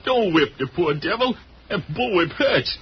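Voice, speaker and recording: A man's voice: sits high, sing-song, unhurried, heavily acted. An actor playing a gleefully murderous, fawning henchman with childlike eagerness in an old radio western. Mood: pleading, fawning. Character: gleefully murderous, servile male outlaw henchman. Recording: dull, noisy old radio recording.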